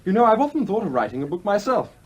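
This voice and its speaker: A sanctimonious young son in a radio play, smug and patronising. His voice is high for a man and slightly husky, with a very lively, wheedling rise and fall. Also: fast, heavily acted. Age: young adult. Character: smug young adult son, pompous would-be clergyman, self-centred. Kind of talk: British radio play acting.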